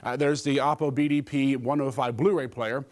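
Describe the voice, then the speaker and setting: A man's voice: mid-range voice, fairly even intonation, moderate pace, a little mellow. A man presenting a scripted gadget review, with a joking streak in his delivery.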